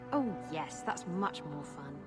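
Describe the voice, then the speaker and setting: A woman's voice: low voice, expressive, slow, loud music behind the voice. A woman voicing a blunt, flirtatious, playful female rogue in a video game. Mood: earnest then teasing. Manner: sassy, blunt. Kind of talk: video game dialogue acting.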